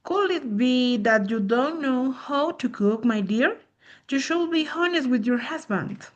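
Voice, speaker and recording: Mischievous village neighbor, female actor, amateur drama: mock-sweet, high-pitched, unhurried delivery. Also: animated, dull audio. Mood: chiding, suspicious. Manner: deliberate, insinuating, mock-sweet. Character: sly, mischievous village neighbor woman, knowing and scheming.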